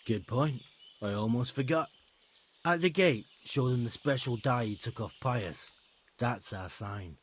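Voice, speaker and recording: An actor playing a shady medieval bandit recruiter in a game, dry and offhand. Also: male voice, medium pitch, animated, unhurried, husky. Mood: dry, instructing. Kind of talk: video game cutscene acting.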